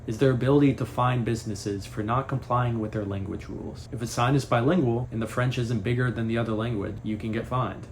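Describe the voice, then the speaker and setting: A man vlogging and narrating in a casual, explanatory way, with playful deadpan humour. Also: mid-range voice, a little fast, hardly any pauses, slight background noise.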